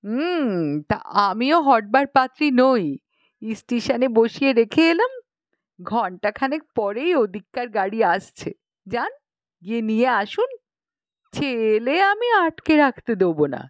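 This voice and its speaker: A woman's voice: a little high, very animated, a little slow, no echo, highs cut off. A woman voicing an aggrieved, bossy young matron in an audiobook story, chatty and indignant, full of rhetorical questions. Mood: defiant, bossy. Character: aggrieved, bossy young matron airing grievances about in-laws.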